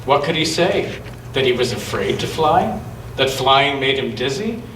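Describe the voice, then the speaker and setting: A man reading a picture book aloud to children and chatting gently with them in a church. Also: medium pitch. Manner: gentle question-and-answer talk with children. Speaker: storytime reader at a church children's gathering. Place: large echoey church room with children. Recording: echoey noisy room, distant mic.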